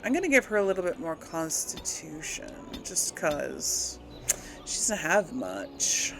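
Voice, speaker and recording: Slow, gravelly-edged woman gamer thinking aloud. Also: low-pitched, huge pitch swings, background noise. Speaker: gamer, host of a role-play let's play. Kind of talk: casual game commentary.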